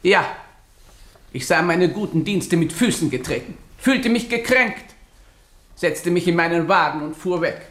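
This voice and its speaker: A woman's voice: on the low side, expressive, unhurried. A prim, self-righteous lady giving testimony in a radio drama. She speaks formally and precisely with offended dignity, and the sound is noticeably roomy and echoing. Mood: wounded dignity. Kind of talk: German radio drama acting, witness testimony.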